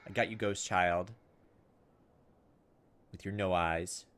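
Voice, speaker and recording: A man doing casual gameplay commentary, thinking aloud in an informal, self-deprecating way and reading game text out loud. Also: low voice, fairly even intonation, takes it slowly, slight background noise. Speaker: gaming video host.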